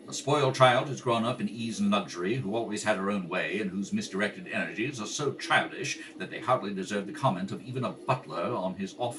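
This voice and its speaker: A man acting a gentlemanly, polite, down-on-his-luck butler in a 1930s radio comedy style, with measured delivery. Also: lowish voice, lightly acted. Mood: formal, restrained. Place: kitchen table at home. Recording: faintly noisy home recording, distant mic.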